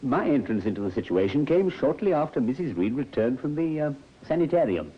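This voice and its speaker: An actor playing a methodical country doctor with a measured, formal, precise manner in an old radio drama. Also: male voice, medium pitch, sing-song, husky. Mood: grave, careful. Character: methodical country doctor, measured and quietly wry male professional. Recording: dull, noisy old radio recording.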